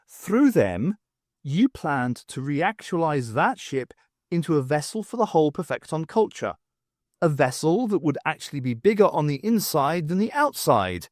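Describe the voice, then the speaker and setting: Man reading as a wry, lively woman adventurer. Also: a little high, normal speed, no room sound, clean studio sound, pitched above the performer's own voice. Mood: probing, confident. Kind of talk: audiobook character voice.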